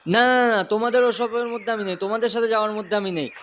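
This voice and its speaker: A man's voice: high voice, steady tone, quick pace, fairly bright tone, slight background noise. A young man playing an eager, stubborn teenage son in an audio story drama. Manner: pleading, insistent.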